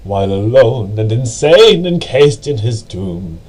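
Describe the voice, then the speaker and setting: A man reading a poem as dramatic narration, with a theatrical, deliberate and brooding delivery. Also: medium pitch, very animated, darkish tone. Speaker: amateur reader.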